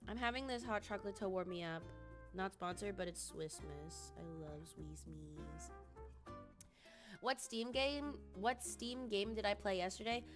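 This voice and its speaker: A young woman streaming, chatting casually with viewers in a rambling way with lots of ums, her voice recovering from a cold. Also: mid-range voice.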